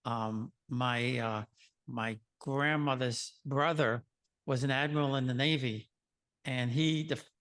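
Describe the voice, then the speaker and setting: A man hosts a casual interview conversation. He speaks haltingly and often restarts his sentences while he works out what to ask. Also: mid-range voice, fairly even intonation, fairly bright tone. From Queens, New York.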